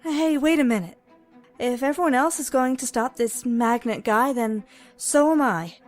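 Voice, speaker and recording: A woman's voice: high-pitched, normal speed, soft background music, natural voice. An actress playing an earnest, headstrong teenage girl student in an audio drama. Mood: defiant.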